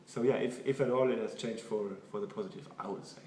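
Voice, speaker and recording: A man from Germany with a German accent, a native German speaker, giving halting, thoughtful interview answers and often repeating words. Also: lowish voice, steady tone, mellow. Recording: echoey room, far from mic, noisy.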